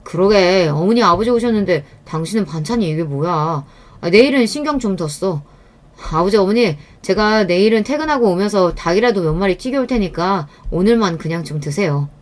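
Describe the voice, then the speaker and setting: A woman's voice: mid-range voice, lively intonation, brisk, lightly acted. A woman voicing a husband who scolds his wife and pleads to appease his parents. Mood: placating.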